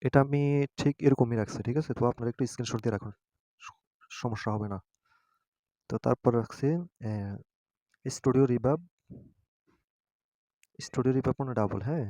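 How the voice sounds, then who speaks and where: Man, dark soft-edged tone, dry sound, informal tutorial host, hesitant step-by-step delivery, frequent check-ins. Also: medium pitch, no echo. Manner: hesitant, halting, often seeking agreement.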